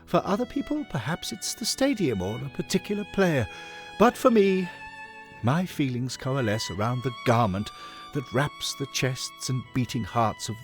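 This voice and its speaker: Elderly Victorian gent, audio story: fussy, sentimental, dithering, very animated pitch, music underneath. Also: male voice. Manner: dithering, old-chum endearments, breathy swoons. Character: effusive elderly Victorian gentleman football club founder, fussy, sentimental. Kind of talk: dramatic audiobook monologue. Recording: music behind the voice.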